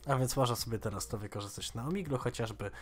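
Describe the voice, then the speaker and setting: A man in his twenties giving a casual, informal software tutorial in a slightly halting way. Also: medium pitch. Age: 20s. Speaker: tech tutorial host.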